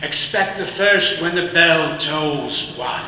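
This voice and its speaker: An actor voicing the solemn ghost of an old businessman in a radio play, speaking in a measured, grave way. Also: fairly high pitch, pushed and bright, exaggerated voice. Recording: echoey hall with faint hiss.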